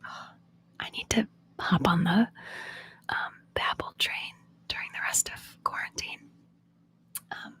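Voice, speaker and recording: A young woman from Oklahoma, an ASMR host, chatting in a soft whisper with viewers on a live stream, answering their questions and laughing often. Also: on the low side, lively intonation, measured pace, vocal fry, long pauses. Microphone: phone.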